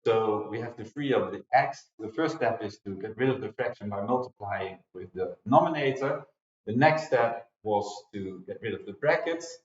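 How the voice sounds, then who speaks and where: A man's voice: lowish voice, moderate pace. A man in his forties, an English speaker, giving a recorded math lecture at a whiteboard, explaining step by step with frequent fillers. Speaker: university lecturer. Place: classroom with whiteboard, indoors. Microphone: far camera mic. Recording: dull, roomy classroom sound.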